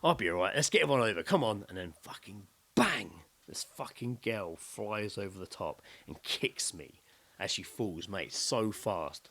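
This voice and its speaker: A British man co-hosting a podcast, telling a story in casual chat with sweary, excitable delivery and acting out his own reactions. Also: lowish voice, moderate pace, creaky. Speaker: podcast co-host.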